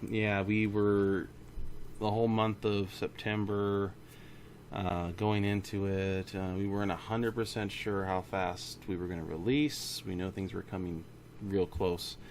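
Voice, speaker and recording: Male indie developer, low fry-edged voice, informal rambling update delivery, faint noise behind. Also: little pitch movement, a little slow, darkish tone. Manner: informal, rambling, self-correcting. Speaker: indie game studio founder. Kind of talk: casual monthly studio update to camera or mic.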